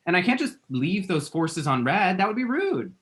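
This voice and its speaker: A man's voice: sits high, sing-song, normal speed, fairly bright tone. A man acting a dramatic, lovestruck man in his late twenties in a rom-com script read, rambling and quippy. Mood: giddy, dramatic. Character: dramatic, lovestruck, scrolling-obsessed twenty-seven-year-old man. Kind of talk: screenplay table read, rom-com dialogue.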